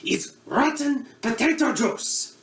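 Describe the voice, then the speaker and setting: A woman playing a bumbling, shady male Eastern European TV cook who speaks slow, broken English and gets suspiciously defensive. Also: high-pitched, expressive, far from the mic, full character voice. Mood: deadpan. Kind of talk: comic cooking show parody. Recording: roomy, from the mic, faint ambience.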